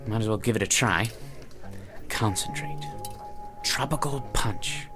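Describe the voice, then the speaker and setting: A man's voice: on the low side, a little slow, close to an everyday voice. An actor playing a fussy, self-important male office worker with a pompous, bookish, enunciated way of talking in a television drama. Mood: intrigued, scheming. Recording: background music under dramatic dialogue.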